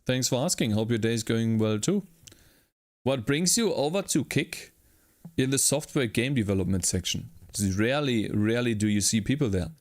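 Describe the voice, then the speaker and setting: Man, live coding stream, thinking aloud, greeting chat, mid pitch, dry close sound, keyboard typing behind. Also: animated, moderate pace, dry recording. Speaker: livestreaming game developer.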